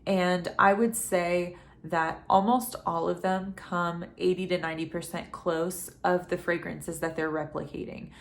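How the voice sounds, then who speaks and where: A woman in her thirties, getting over a head cold with a dry throat, talking quietly and chattily at home at night as a fragrance reviewer. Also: fairly low pitch, steady tone, unhurried, vocal fry, hardly any pauses. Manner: chatty, rambling, with frequent ums. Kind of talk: casual vlog talk to camera.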